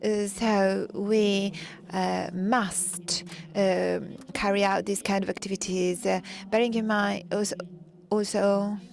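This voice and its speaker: A woman gives a live interpretation of a conference talk. Her delivery is slow and halting, breaking off mid-sentence for pauses. The pitch stays in a narrow, even band. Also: creaky.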